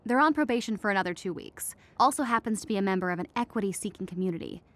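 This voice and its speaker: A woman playing a businesslike tour company manager in a radio play, speaking in a measured, diplomatic corporate way. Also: mid-range voice, animated, a bit bright.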